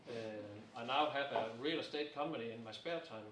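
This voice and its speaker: A man's voice: mid-range voice, fairly quick, fairly bright tone. A man of fifty, a business consultant introducing himself in a jokey, easygoing way. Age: 50s. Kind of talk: casual self-introduction. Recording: echoey room, distant mic, crowd noise.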